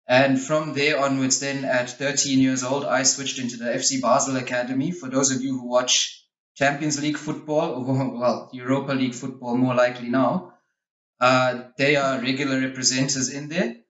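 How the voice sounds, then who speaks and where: A man's voice: medium pitch, fairly bright tone. Man in an echoey, muffled recording. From Switzerland. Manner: frank, opinionated. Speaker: interview guest, youth football coach. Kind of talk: conversational interview answers, explaining.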